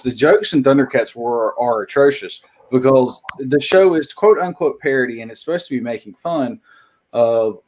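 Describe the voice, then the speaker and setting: Male co-host, mid-pitched voice, casual rambling rant. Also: darkish tone. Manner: ranting, rambling with fillers. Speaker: podcast co-host.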